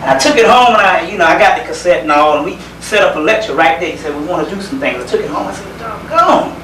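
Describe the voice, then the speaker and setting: High-voiced Southern man lecturing from far off. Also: lively intonation, fairly bright tone. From South Carolina, United States. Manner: folksy, conversational, hesitant pauses with repeated phrases. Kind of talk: informal community lecture. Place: indoor lecture gathering. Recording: roomy, distant microphone, faint background noise.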